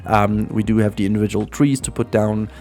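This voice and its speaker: A man's voice: fairly low pitch, steady tone, brisk, warm tone. A man with a German accent and German as his first language, hosting casual gameplay commentary in a chatty, rambling way full of ums. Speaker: gaming channel host. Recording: faint music behind.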